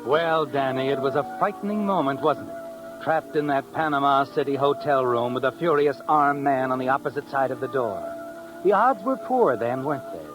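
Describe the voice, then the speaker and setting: A man playing the knowing, taunting narrator of a 1950s radio mystery, addressing the schemer with dramatic pauses. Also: medium pitch, animated, close to an everyday voice, poor audio. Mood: ominous, knowing. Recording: old radio recording with music behind.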